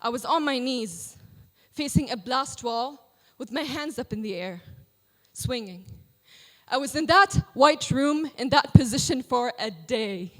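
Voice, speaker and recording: A woman slam poet reciting in competition with a dramatic, emphatic delivery and deliberate pauses. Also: high voice, lively intonation. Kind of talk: slam poetry performance. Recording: reverberant hall.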